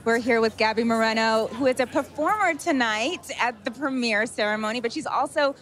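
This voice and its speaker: A woman hosting interviews on an awards red carpet, her voice sitting fairly high. She introduces each guest with an upbeat, polished presenter delivery. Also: a little fast, fairly bright tone.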